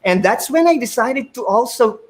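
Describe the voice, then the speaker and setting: A man's voice: very high voice, expressive, moderate pace. A man with a Filipino accent, a math teacher and a native Filipino speaker, earnestly telling his story as a panel guest on a video call, often repeating words as he thinks. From Philippines.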